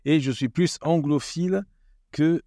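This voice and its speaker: A man's voice: fairly high pitch, measured pace, dark tone, no room sound, studio quality. A man from Portugal with a Portuguese accent shaded by English, a playful stand-up comic chatting on a podcast. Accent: Portuguese with English influence. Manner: jokey, playful, laughs often. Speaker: stand-up comedian, podcast guest. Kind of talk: casual podcast chat.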